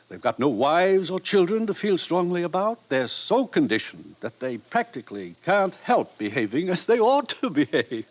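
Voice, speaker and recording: A patronising middle-aged ruler in a vintage radio drama. He persuades with urbane condescension and a very lively pitch. The recording is dull and lo-fi. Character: urbane, condescending world controller. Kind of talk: 1950s radio drama acting.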